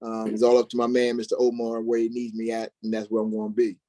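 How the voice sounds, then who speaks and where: A man's voice: medium pitch, monotone, fast talker, mellow. A man speaking as a stage actor in an interview, answering casually in a folksy, informal way.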